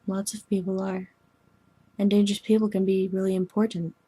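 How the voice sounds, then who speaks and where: A woman voicing a formal, gently meddling young alien woman in scripted dialogue, speaking in a measured, precise, deadpan way. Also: mid-range voice, husky, close to an everyday voice. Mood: calm, earnest.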